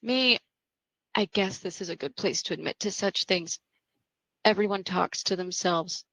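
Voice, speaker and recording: A woman playing a cocky, sardonic young psychiatric patient, self-assured and deadpan in a script read. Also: on the low side, measured pace, gravelly, no echo, rough recording. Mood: defensive. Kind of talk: dramatic table read of a screenplay.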